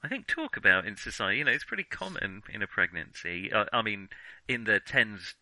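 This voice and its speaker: A man co-hosting a casual film discussion, halting and hedging as he restarts his sentences mid-thought. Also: lowish voice, very animated, bright, forceful tone, no room sound, poor audio. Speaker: podcast co-host.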